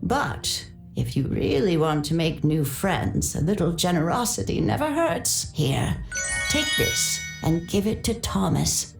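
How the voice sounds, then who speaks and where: A woman's voice: lowish voice, big pitch swings, a little slow, creaky, reverberant, music in the background, heavily acted. A woman playing a wry, mischievous elderly grandmother in a video game, with a teasing delivery. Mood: teasing, coaxing. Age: older. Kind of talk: video game character voice acting.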